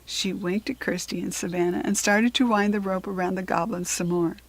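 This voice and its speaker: A woman with an American accent reading a children's book aloud in expressive narration, with a breathy voice. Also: mid-range voice, fairly quick, poor audio.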